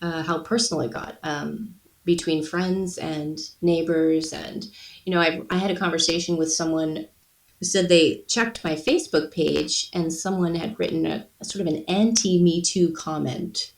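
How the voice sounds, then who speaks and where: A middle-aged woman with a fairly low voice talks as an interview guest, telling stories expressively. She sounds distant from the microphone, with clear room echo around her. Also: steady tone, moderate pace. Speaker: podcast guest, actor. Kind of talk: conversational interview, personal anecdotes. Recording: roomy echo, distant from the mic.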